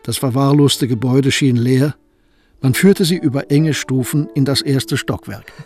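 A man tells a historical tale in a calm, measured voice of middle pitch. Soft music plays faintly beneath his narration. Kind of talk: scripted narration of a radio play.

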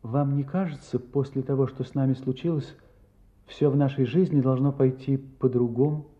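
An actor playing an earnest, courteous and curious gentleman in an audio drama, with an expressive, somewhat hesitant manner. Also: mid-range voice, moderate pace, lightly acted. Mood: tender, hesitant. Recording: slightly dull audio with music.

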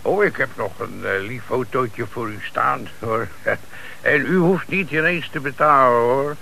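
A man's voice: mid-range voice, measured pace, gravelly, pushed and bright. A man acting in a radio play as a sly, mocking car dealer and small-time crook, chuckling, falsely polite and evasive. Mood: mock jovial. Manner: feigned politeness, jovial evasiveness. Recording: hissy old radio play recording.

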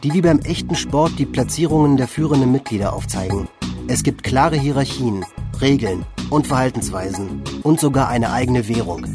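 A man's voice: mid-range voice, lively intonation, normal speed, lightly acted. A man acting as a factual narrator, reading scripted documentary narration for a radio play. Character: factual male narrator defining scene terms. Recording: music bed behind narration.

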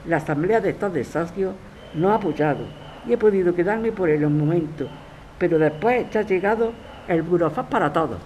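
A woman's voice: lowish voice, brisk, a little mellow, lightly acted, poor audio. A woman playing a defiant grandmother who resists eviction, interviewed in a radio drama. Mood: troubled, indignant. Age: older. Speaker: interviewed tenant. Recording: clear ambient street noise.

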